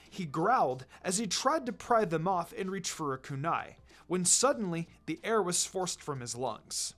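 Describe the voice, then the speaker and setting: A narrator reading fan fiction aloud as scripted storytelling. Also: mid-range voice.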